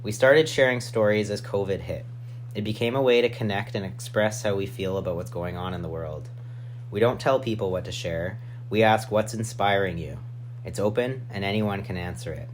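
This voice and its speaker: A man with a low voice speaks as a podcast host. He narrates an introduction, with a faint hum and noise in the recording. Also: moderate pace.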